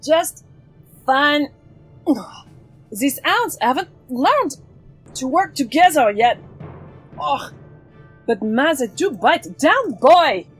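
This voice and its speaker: A woman's voice: sits high, very animated, extremely slow, pauses often, faint music behind, full character voice, poor audio. A woman playing a plucky, brash swordfighting heroine in an audio drama, bold and sardonic. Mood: strained, sardonic.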